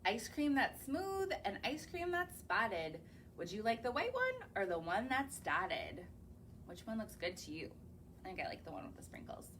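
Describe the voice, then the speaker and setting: Young female librarian, story time; high, lively sing-song delivery, slow and coaxing. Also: slight background noise. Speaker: children's librarian. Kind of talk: reading picture books aloud to young children. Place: library or home, recorded on camera.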